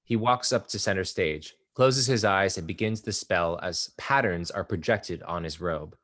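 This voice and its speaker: A man reading stage directions aloud in a play table read, measured and even. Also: deep voice. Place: live reading at an art exhibition.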